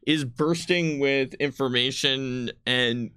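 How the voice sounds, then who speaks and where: A man's voice: mid-range voice, takes it slowly, bright, forceful tone, clear, resonant tone, dry recording, clean studio sound. A man speaking as a game designer in an unscripted panel chat, explaining things and often jumping in. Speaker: game designer on a company stream.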